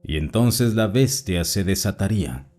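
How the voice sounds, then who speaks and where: A man with a fairly low voice reads personal stories in a calm, measured way. His intonation moves a lot, rising and falling through each sentence. Faint music plays under the voice-over. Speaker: narrator reading listener stories. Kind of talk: scripted narration of written accounts.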